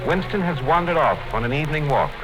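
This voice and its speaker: A man narrating a radio play in a measured, formal way. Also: a little high, expressive, low-quality recording. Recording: old radio broadcast with music under it.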